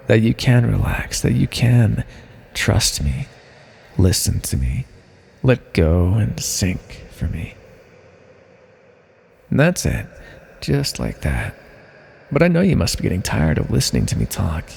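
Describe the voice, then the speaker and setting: A man playing a smug, playful supervillain mind controller in an ASMR roleplay, coaxing the listener with theatrical, drawn-out words. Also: lowish voice, gravelly, darkish tone, slightly rough, full character voice. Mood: soothing, hypnotic. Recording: long reverberant echo.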